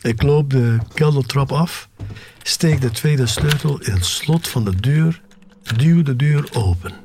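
An actor playing a sly, obsessive collector who narrates his own tale in an unhurried, savouring way for an audio drama. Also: mid-range voice, noisy background. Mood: measured. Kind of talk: scripted first-person audio drama narration. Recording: music bed under voice.

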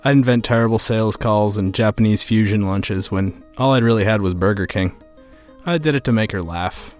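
A man's voice: fairly low pitch, normal speed, close to an everyday voice. A man playing a lonely, heartbroken boyfriend who tells his story in a conversational, confiding way in an audio drama. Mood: fond. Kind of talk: first-person narration in an audio drama. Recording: music under the voice.

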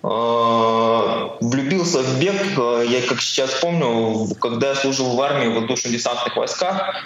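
A man in his forties answering interview questions casually, telling his own story in halting, broken phrases as he thinks aloud. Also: medium pitch, fairly bright tone, lo-fi sound. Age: 40s. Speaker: podcast guest, amateur barefoot ultrarunner. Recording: very echoey room, faint background noise.

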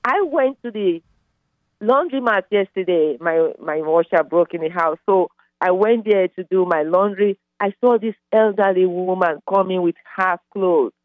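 A woman's voice: fairly low pitch, a little slow, no room sound. A middle-aged woman from Nigeria with a Nigerian accent, calling in to a radio show and talking in a rambling way with many fillers. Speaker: caller. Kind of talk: casual phone call. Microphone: phone line. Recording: tinny dry phone line.

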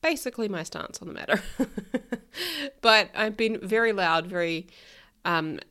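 A woman's voice: medium pitch, a little slow, sharp tone, clean tone. A woman with a New Zealand accent hosting a solo podcast, talking frankly and outspokenly, her voice a little nasal from being ill. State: nasal from illness. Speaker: podcast host, life coach. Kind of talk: casual solo podcast monologue.

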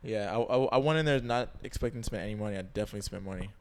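A man's voice: lowish voice, rapid-fire, clear, resonant tone. A young man co-hosting a casual chat, talking in slang and repeating "you know what I'm saying". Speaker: podcast co-host.

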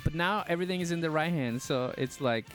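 A man's voice: fairly high pitch, fast talker, fairly bright tone, faint music behind. A man from Chile co-hosting a live show with casual, playful, teasing banter. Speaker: co-host of a live-streamed show.

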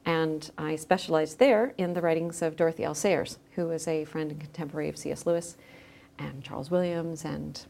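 A middle-aged woman with a somewhat low-pitched voice talks as a guest in an interview. She speaks conversationally, explaining things in an informal, narrative way. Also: fairly even intonation, fairly quick, creaky, a little mellow. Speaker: podcast guest, journal managing editor. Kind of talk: conversational interview, recounting own background.

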